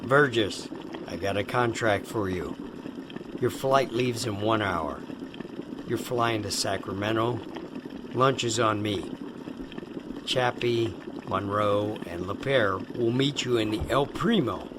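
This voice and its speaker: A low male voice from an actor plays an authoritative contract boss in an audio drama. He speaks slowly and in a businesslike way. Noisy rumbling ambience runs behind him. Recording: clear rumbling background ambience noise.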